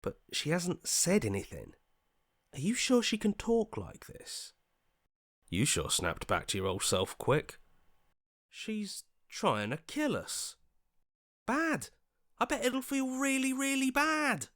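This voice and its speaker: A man's voice: high voice, huge pitch swings, slow pace, long pauses, fairly bright tone, no room sound, clean studio sound, exaggerated voice. An actor playing an anxious, earnest young male hero in a video game, hesitant and pleading, sometimes stammering. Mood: worried, hushed.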